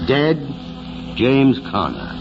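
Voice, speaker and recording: An actor playing a kindly, thoughtful older small-town mayor in 1940s radio drama style. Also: male voice, medium pitch, huge pitch swings, extremely slow, vocal fry, heavily acted. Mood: grave, subdued. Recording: muffled old radio recording with music.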